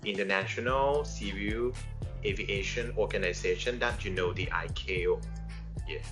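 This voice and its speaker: A man's voice: on the low side, moderate pace. A man from Thailand with a Thai accent, a native Thai speaker, answering informally as an air traffic controller, repeating phrases and checking with tag questions. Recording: music playing behind the voice.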